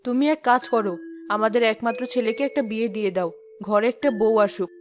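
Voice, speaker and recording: A woman's voice: on the high side, quick pace, slight background noise, natural voice. A woman playing an outspoken, practical middle-aged village wife and mother in a cartoon, with a matter-of-fact manner. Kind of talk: Bengali cartoon voice acting.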